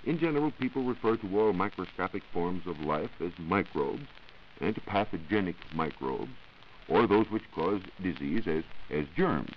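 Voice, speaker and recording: Man, animated intonation, formal explanatory wording, 1940s radio science reporter, dull noisy old recording. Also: low voice, moderate pace. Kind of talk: 1940s radio science talk, scripted answers.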